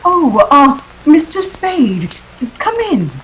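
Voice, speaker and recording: Woman acting a slow, pleading femme fatale. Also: high-pitched, big pitch swings, a little hiss or hum, exaggerated voice, poor audio. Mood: flustered. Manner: hesitant, dramatic, throbbing pleas. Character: pleading, breathy femme fatale client, slyly helpless. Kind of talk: 1940s radio melodrama acting.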